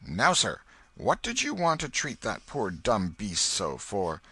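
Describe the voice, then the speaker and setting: A man voicing a stern but soft-hearted old woman with sharp, old-fashioned country speech. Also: fairly low pitch, wildly swooping pitch, unhurried, husky, no room sound, full character voice. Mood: scolding.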